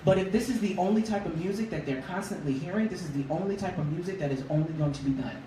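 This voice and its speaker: A man with a high voice, a guest singer talking casually in a radio interview. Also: fast talker. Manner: relaxed, chatty, laughs easily. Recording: roomy, distant mic, faint noise.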